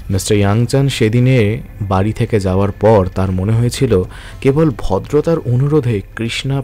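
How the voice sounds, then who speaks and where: A man's voice: medium pitch, a little mellow. A man giving scripted, dramatic narration for an audio detective story.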